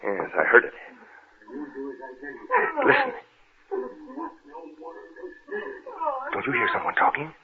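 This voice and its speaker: A man playing a bold, determined gentleman investigator in old-time radio drama, giving instructions with authority. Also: medium pitch, huge pitch swings, moderate pace, creaky, a crowd in the background. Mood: hushed, intent. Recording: scratchy, noisy, narrow-band old radio recording.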